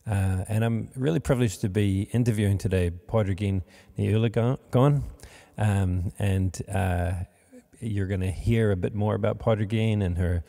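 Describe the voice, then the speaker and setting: Guy hosting, very deep dark gravelly voice, lots of ums, chapel echo. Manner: warm, rambling, hesitant with many fillers. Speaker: podcast host. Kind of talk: interview. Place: large chapel. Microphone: studio mic on a stand. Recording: long reverb of a chapel.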